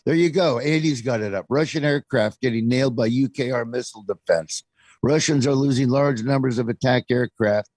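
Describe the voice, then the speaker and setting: A man's voice: medium pitch, brisk, a little mellow, no echo. A middle-aged American man hosting opinionated talk radio, speaking in an exasperated, emphatic way full of rhetorical questions. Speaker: talk show host.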